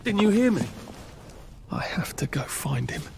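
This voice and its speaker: A man's voice: sits high, very animated, a little slow, creaky, noisy background, heavily acted. A man playing an earnest, haunted British man in a dramatic video game scene, intense and wounded. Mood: anguished.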